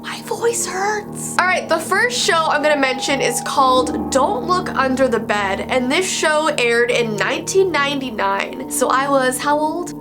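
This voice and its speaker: Young woman vlogging w a stuffed up cold voice, high pitched, chatty, bit of music under it. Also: measured pace, hardly any pauses. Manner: chatty and animated. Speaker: YouTuber. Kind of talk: casual vlog storytelling.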